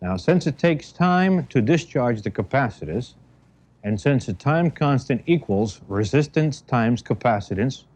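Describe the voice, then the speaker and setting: American guy teaching on an old 1970s training film, dark relaxed voice, careful step by step phrasing, kinda muffled audio. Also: medium pitch. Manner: measured, deliberate, step-by-step explaining in short phrases. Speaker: instructor narrating a training film. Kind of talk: instructional lecture narration. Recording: dull, band-limited 1970 film soundtrack.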